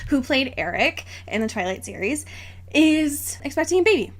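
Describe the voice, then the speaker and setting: A woman's voice: sits high, sing-song, normal speed. A woman co-hosting a podcast in casual comedic chat, sarcastic and sweary, often talking through giggles. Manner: sweary, sarcastic, dramatic exaggeration. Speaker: podcast co-host.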